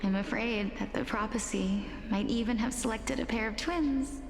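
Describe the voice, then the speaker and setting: A woman acting a gentle, earnest young woman facing a fated sacrifice in a video game, with measured, delicate, formal delivery. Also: fairly high pitch, a little hiss or hum, close to an everyday voice. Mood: solemn, sorrowful. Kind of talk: scripted game dialogue acting.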